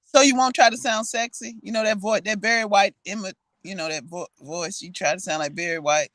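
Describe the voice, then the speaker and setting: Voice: medium pitch, steady tone, fairly quick, fairly bright tone, no echo. Teasing podcast co-host, dry sound. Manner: teasing, mocking. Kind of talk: casual chat.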